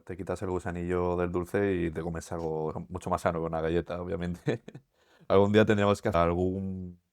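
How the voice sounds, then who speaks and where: A man with an accent from Spain, co-hosting a podcast and asking questions in a measured, hesitant way. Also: sits low, steady tone, darkish tone, dry recording, clean studio sound. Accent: Spain Spanish. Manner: measured, hesitant, lots of "eh" fillers. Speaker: co-host. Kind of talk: casual podcast conversation.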